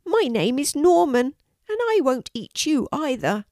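Woman voicing a tiny, high-pitched, timid boy dragon. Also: sing-song, measured pace, a little mellow, no room sound, studio quality. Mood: friendly. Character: tiny timid five-year-old boy dragon, earnest and friendly. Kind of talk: children's storybook character voice.

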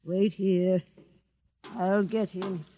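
An actor playing a suspicious, solemn doorkeeper in a radio drama, wary and questioning in manner. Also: male voice, high voice, flat delivery, very slow, warm tone, slight background noise. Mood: grudging. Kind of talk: 1950s radio drama acting. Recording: muffled old radio, long reverb.

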